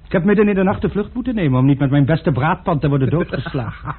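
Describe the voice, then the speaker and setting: A man's voice: on the high side, lively intonation, fast, breathy edge. A man playing a fawning, luckless servant. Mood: theatrical lament. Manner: obsequious, says monsieur constantly. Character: fawning hapless male servant and cook. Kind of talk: radio drama acting. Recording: muffled phone-like old radio recording.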